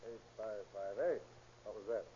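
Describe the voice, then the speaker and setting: A man's voice: on the low side, flat delivery, normal speed, mellow, husky. A man playing a reluctant, matter-of-fact city office official in a 1930s radio drama. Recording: muffled, hissy 1930s radio recording.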